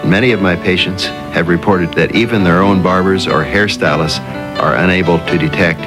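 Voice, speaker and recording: A man gives a formal, explanatory testimonial as a doctor in a radio ad. His voice is very low, and guitar music plays clearly behind him. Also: fairly even intonation, normal speed. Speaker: hair transplant doctor in an ad. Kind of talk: measured advertising testimonial. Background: guitar music bed.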